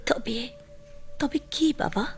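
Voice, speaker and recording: An actress playing an elderly, doting village mother in a radio drama, plaintive and halting. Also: high voice, creaky, full character voice. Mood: plaintive, pleading. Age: older. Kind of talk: Bengali radio drama acting. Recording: music behind the voice.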